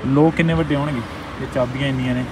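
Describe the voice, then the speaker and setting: A man's voice: mid-range voice, fairly even intonation, fast talker. A young man from India with a Punjabi accent, chatting casually as a travel vlogger while walking and showing things around. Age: young adult. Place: outdoor city markets and streets. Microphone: handheld camera or phone at arm's length. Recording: noisy outdoor street and traffic sound.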